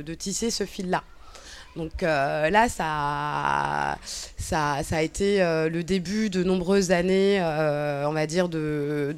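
A woman's voice: on the low side, clear, resonant tone. Hesitant, reflective woman in her thirties. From Cabinda, Angola. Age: 30s. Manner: hesitant, reflective, many fillers and restarts. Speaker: interview guest. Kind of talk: personal testimony in conversation.

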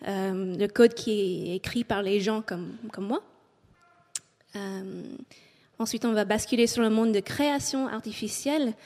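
A woman's voice: mid-range voice, a little mellow. A woman from English-speaking Canada speaking French with an English Canadian accent, giving a public talk and hesitating often with filler sounds. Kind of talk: public talk reading from notes. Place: auditorium before an audience. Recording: long hall reverb.

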